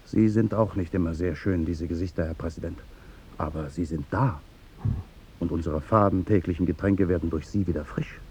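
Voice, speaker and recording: Café waiter male actor: dignified, earnest, hesitant, with a deep, dark voice, vintage radio play. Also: normal speed, slight background noise, natural voice. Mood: solemn, devoted. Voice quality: low, dark, husky. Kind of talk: 1950s German radio drama acting.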